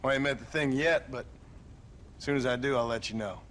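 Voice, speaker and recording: A man's voice: mid-range voice, a little fast, fairly bright tone, a little hiss or hum, close to an everyday voice. An actor playing a plainspoken, tough frontier rancher and father with an American Western accent in a video game. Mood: fond, wry. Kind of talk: video game cutscene acting.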